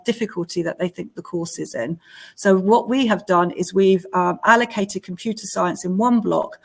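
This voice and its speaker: Brief: school staff woman, mid-pitched, explanatory tone, reads parents' questions. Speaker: school staff member hosting the Q&A. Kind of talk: presenting at a school options evening.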